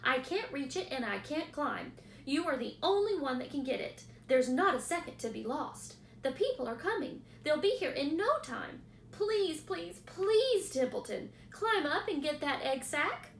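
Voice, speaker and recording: A woman's voice: sing-song, measured pace, vocal fry, hardly any pauses, a little hiss or hum, exaggerated voice. A woman voicing a young male pig who is earnest and frantic, pleading and crying out in an echoey room. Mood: desperate. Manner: pleading, high-strung, shouting and sobbing.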